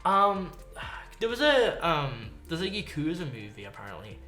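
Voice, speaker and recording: A man co-hosting a casual podcast, speaking in a halting, blunt way peppered with filler words. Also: a little high, very animated, sharp tone. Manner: halting, heavy use of "like", blunt swearing. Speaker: podcast co-host. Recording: echoey room, music underneath.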